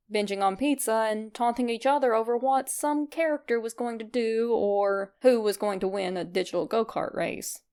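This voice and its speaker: A woman reading fan fiction aloud as narrator, in an expressive scripted storytelling style. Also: fairly high pitch.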